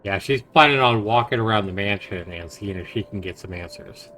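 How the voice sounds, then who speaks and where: A man streaming a visual novel, reading it aloud haltingly with stumbles, self-corrections and casual asides. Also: lowish voice, sharp tone, slight background noise.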